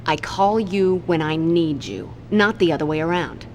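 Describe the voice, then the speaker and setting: A woman's voice: fairly low pitch, moderate pace, a little mellow, slight background noise, natural voice. An actress playing a haughty, impatient female crime boss in a video game. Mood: scornful.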